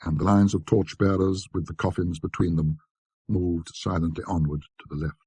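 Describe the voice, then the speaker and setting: Man, low husky voice, dark tone, precise scholarly delivery, dramatic ghost story narration. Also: steady tone, moderate pace, no room sound.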